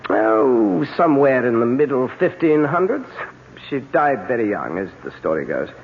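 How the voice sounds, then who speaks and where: Suave, animated lord. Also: male voice, medium pitch, moderate pace. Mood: evasive, doting. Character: suave British aristocrat husband, doting, teasing and evasive. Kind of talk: 1970s radio drama acting. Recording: muffled, hissy old radio broadcast.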